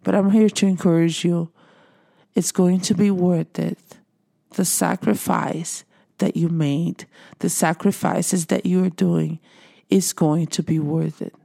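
A woman's voice: lowish voice, fairly even intonation, measured pace, creaky, warm tone. A middle-aged woman from Brazil with a Brazilian Portuguese accent, giving an earnest motivational talk to viewers and repeating phrases for emphasis. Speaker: motivational speaker. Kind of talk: encouraging monologue to viewers.

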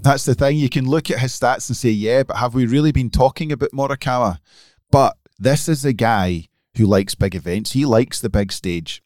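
A man's voice: medium pitch, normal speed, a little mellow, no room sound, studio quality. A man co-hosting a podcast, giving emphatic, opinionated golf picks in casual chat. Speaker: podcast co-host.

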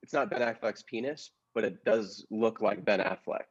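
A man's voice: on the low side, normal speed, muffled recording, lightly acted. A man playing an eager, earnest, stammering reporter in an improvised comedy sketch. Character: eager, earnest male reporter with trivial stories.

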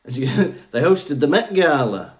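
A man's voice: a little high, big pitch swings, unhurried, darkish tone. A young adult man from Wales co-hosting a podcast, chatting casually, telling stories and laughing often. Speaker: podcast co-host. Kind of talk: casual chat.

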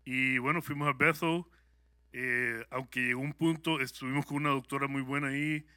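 Bright-voiced Salvadoran man giving testimony. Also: medium pitch, steady tone, moderate pace, no echo, clean studio sound. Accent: Salvadoran Spanish. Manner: conversational and upbeat, with frequent hesitant fillers. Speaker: guest speaker at a church service. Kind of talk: personal testimony to a congregation.

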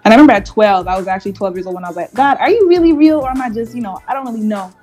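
A woman's voice: a little high, lively intonation, racing, darkish tone, faint music behind. A woman in her twenties from Montreal with a Canadian accent, chatting candidly and casually as an interview guest. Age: 20s. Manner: chatty, candid, laughs easily. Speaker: interview guest, gospel singer.

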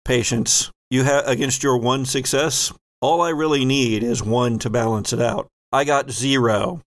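A man running a tabletop role-playing game as game master, improvising vivid descriptive narration with thoughtful pauses. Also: mid-range voice, steady tone, no echo.

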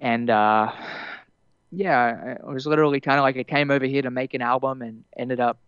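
A young man with an Australian accent softened by years in America, chatting loosely in an interview with plenty of fillers and restarts. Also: medium pitch, fairly even intonation, normal speed, smooth, no room sound, muffled recording. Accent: Australian, partly Americanized. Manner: rambling, many fillers and restarts, laughs easily. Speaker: musician guest. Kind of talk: casual interview chat.

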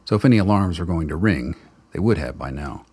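Deep husky guy narrating a radio play, steady storyteller. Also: brisk. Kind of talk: scripted narration.

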